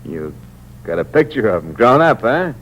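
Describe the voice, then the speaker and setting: A deep-voiced noir killer. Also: male voice, big pitch swings, moderate pace. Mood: menacing. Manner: tough slangy talk, clipped and menacing. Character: hard-boiled male con man and killer, cold. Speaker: narrator and lead character. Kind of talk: 1950s radio drama, first-person noir narration. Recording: dull 1950s radio broadcast with hum.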